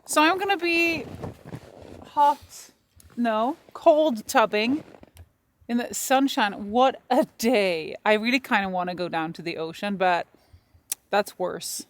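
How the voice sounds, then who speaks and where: A woman's voice: on the high side, lively intonation, slow pace. A woman in her thirties whose first language is Swedish, chatting casually in a vlog outdoors in the freezing cold, laughing often. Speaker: vlogger.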